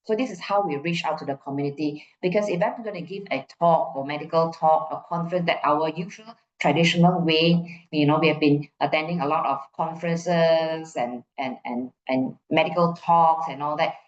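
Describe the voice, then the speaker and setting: A woman's voice: sits low, steady tone, moderate pace, hardly any pauses. A middle-aged woman with a Malaysian accent giving an informal explanatory talk, repeating phrases for emphasis and checking in with her listeners. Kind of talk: conference presentation, informal and explanatory. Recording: muffled, echoey room.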